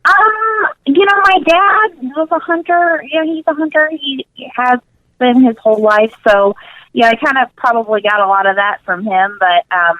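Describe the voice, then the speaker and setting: A woman's voice: high voice, moderate pace, dry recording. An earnest woman guest chats casually in a radio interview over a dull, lo-fi phone connection. She stresses her points by repeating words. The sound is dry, with no room around her. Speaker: interview guest, nutrition coach.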